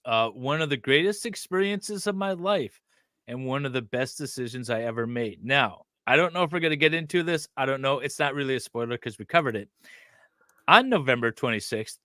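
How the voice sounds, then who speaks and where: A man's voice: mid-range voice, pushed and bright, no room sound, studio quality. A man co-hosting a livestream, reading aloud casually and breaking off for joking asides. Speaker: co-host of a true-crime livestream.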